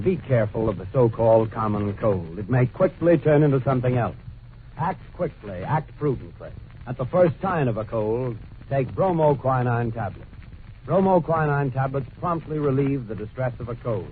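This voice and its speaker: Formal male announcer, tinny old radio. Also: mid-range voice. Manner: deliberate, emphatic, formal. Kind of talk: 1940s radio commercial announcing. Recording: old narrowband radio recording with noise.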